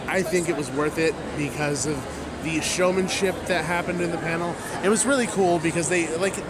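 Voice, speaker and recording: High-voiced man over loud crowd din. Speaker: podcast co-host. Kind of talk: casual podcast chat about a fan event.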